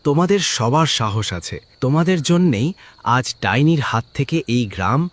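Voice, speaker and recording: A man's voice: mid-range voice, lively intonation, normal speed, natural voice. A man voicing a bold tantric exorcist in a horror cartoon, commanding and declamatory. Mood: solemn, assured. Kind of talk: Bengali horror cartoon voice acting.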